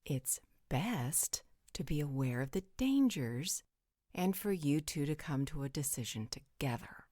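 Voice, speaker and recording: A woman's voice: low voice, very animated, measured pace, warm tone, no room sound, studio quality, heavily acted. A woman playing a frank, teasing, worldly supernatural guardian who speaks bluntly in a roleplay audio drama. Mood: calm, reasoning.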